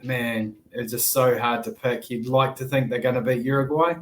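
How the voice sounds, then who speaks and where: A man with a New Zealand accent, a former sevens player chatting as a podcast guest in a relaxed, rambling way. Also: mid-range voice, normal speed. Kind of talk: casual podcast chat.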